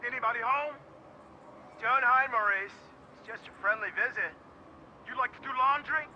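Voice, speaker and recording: Game role: sneering gang heavy, mock-friendly threats, muffled lo-fi sound with faint vehicle noise. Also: male voice, very high voice, moderate pace, sharp tone, heavily acted. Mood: taunting. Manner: taunting, falsely friendly. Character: mocking, menacing male gang enforcer.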